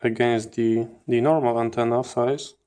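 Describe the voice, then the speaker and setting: Slow, low-key man explaining hardware. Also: fairly even intonation, mellow, smooth. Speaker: host of a radio electronics channel. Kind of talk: hands-on teardown commentary.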